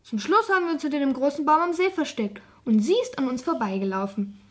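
A cheeky young schoolgirl in a radio crime play. Her voice is high and very lively in melody, with a sullen, blunt, evasive way of answering. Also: fast. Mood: defiant, cheeky. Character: sullen, cheeky twelve-year-old schoolgirl, defiant. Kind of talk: German radio crime drama acting.

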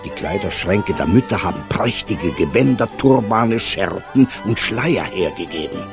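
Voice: low-pitched, lively intonation, a little slow, music in the background. An actor playing a theatrical storyteller who savours the words while narrating a whimsical children's radio play. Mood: amused, vivid. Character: expressive storyteller narrating a whimsical town festival.

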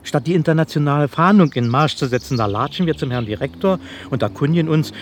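A man's voice: fairly high pitch, animated, fast talker, a little hiss or hum, lightly acted. An actor playing a scornful, grumbling male police investigator in a radio drama, speaking colloquially and emphatically. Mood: indignant, mocking. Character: indignant, sarcastic male police investigator grumbling about a case. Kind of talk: German radio drama acting.

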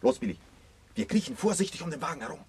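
A man's voice: on the high side, sing-song, racing. A man playing a suspicious, dim gangster guard in a radio drama.

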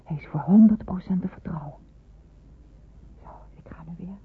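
An actress playing a discreet, reassuring woman confidante in a Dutch radio play, with a hushed, breathy voice and a confiding manner. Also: on the low side, moderate pace, background noise, natural voice. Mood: confiding, urging. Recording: muffled tinny old radio recording.

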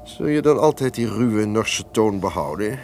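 A low-voiced, guilt-ridden lord of a castle, commanding and suspicious. He declaims theatrically, moving between barked orders and dark brooding. It is old-fashioned radio drama on a hissy, noisy recording. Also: animated, measured pace, vocal fry. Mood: tormented, remorseful.

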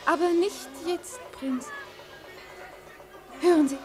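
An actress playing a spoiled, imperious young princess in a 1950s radio play, formal and dramatic with breathy pleading. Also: female voice, very high voice, fairly even intonation, slow, a little mellow, soft background music. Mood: coy, excited. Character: haughty, spoiled young Chinese princess, imperious yet yearning.

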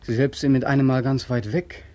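A man's voice: mid-range voice, steady tone, fairly quick, mellow, no room sound, natural voice. A man playing a bitter, haunted soldier back from war in a 1940s radio drama, brooding and intense. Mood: weary, bitter. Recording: old 1940s radio studio recording.

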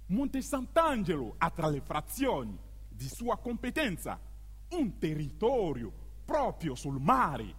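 An actor plays an ironic male stage storyteller with a high, husky voice. He declaims slowly, with emphatic pauses and huge swings of pitch. The sound rings in a long hall reverb. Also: wildly swooping pitch, exaggerated voice. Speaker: stage narrator. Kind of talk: theatrical monologue for radio theatre.

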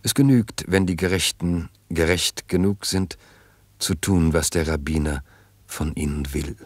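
A man narrating a scripted radio play in a measured, solemn storytelling manner with a wry edge. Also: deep voice, steady tone, no room sound.

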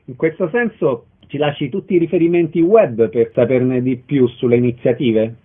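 A man's voice: medium pitch, normal speed, breathy edge, narrow, tinny audio. A man hosting a radio interview, introducing and thanking guests. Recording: thin, band-limited radio sound.

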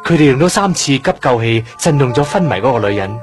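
A man with a Hong Kong accent playing an earnest, curious young trainee doctor in a radio drama, explaining things in a measured way. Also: medium pitch, expressive, soft background music, natural voice. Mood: earnest, explanatory. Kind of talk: Cantonese radio drama acting, explanatory dialogue.

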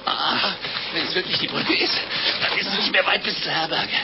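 An actor playing a fat, jolly, gluttonous middle-aged monk with an unctuous, excitable manner in a 1950s radio play. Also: male voice, sits high, normal speed, vocal fry, heavy background noise. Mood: excited, alarmed. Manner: unctuous, food-loving, excitable. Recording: dull vintage radio recording with music.

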